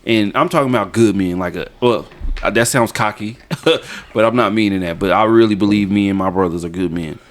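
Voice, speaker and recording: Deep-voiced man telling a story. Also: fairly quick. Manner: storytelling with laughs, Southern US slang. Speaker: podcast co-host. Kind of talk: casual podcast chat.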